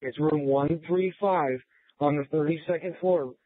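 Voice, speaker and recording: A man's voice: a little high, a little slow, dry recording. A male police officer making terse emergency calls over police radio. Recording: tinny narrow-band scanner radio.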